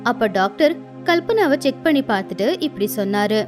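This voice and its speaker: Lady narrating a storybook tale, pretty high voice, bit of music under it. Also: lively intonation, normal speed.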